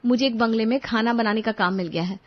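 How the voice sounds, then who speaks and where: Young daughter-in-law played by a female narrator, high voice, earnest, pleading yet firm, cartoon moral tale, slightly muffled audio. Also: fast talker, lightly acted. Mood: earnest, defensive. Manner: pleading, reasoning, polite but firm.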